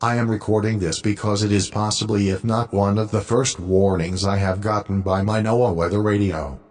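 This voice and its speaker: A man with a fairly low voice narrates his own amateur recording. He speaks in a deliberate, log-like style, stating things plainly for the record. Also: fairly even intonation, a little mellow. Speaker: amateur storm observer.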